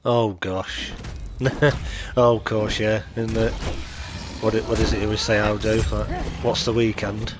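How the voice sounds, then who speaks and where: British male streamer, low-ish voice, congested, nasal, chatty and friendly with chat. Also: flat delivery, measured pace, noisy background. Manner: chatty, greets viewers and thanks them. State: nasal and chesty from allergies. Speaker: game streamer. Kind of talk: live stream gameplay commentary.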